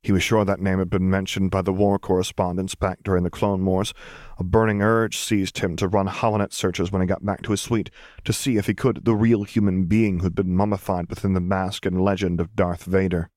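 A man's voice: low-pitched, level tone, fairly quick, darkish tone, dry recording, studio quality. A man reading a story aloud as scripted narration. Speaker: audiobook reader.

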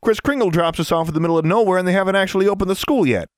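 A man's voice: high-pitched, racing, no room sound, studio quality, pitched above the performer's own voice, natural voice. A man voicing a sardonic schoolboy in an audiobook, talking in a casual, slangy, unimpressed way. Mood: sarcastic. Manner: wry, casual, sarcastic.

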